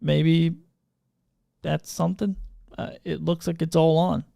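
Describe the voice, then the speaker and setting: A man with a slightly high voice and a clearly creaky edge hosts a casual livestream. He talks off the cuff and thinks aloud in an informal way. The sound is crisp and clean. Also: little pitch movement, a little slow, warm tone, dry recording, clean studio sound. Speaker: livestream podcast host. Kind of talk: casual unscripted livestream chat. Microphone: podcast microphone through audio mixer.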